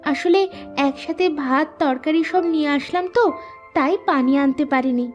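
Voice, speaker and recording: Young cartoon daughter, gentle and obedient, voiced by a woman, very high-pitched. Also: moderate pace, lo-fi sound, faint music behind, natural voice. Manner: soft, obedient, pleading. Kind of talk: cartoon voice acting.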